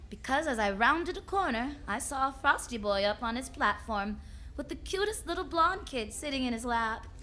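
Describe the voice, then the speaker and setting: A woman's voice: sits high, lively intonation, normal speed, sharp tone. A woman playing a wisecracking, confident detective who tells her case in sassy slang, in old-time radio drama style. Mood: irked, sassy. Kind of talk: 1940s radio drama acting.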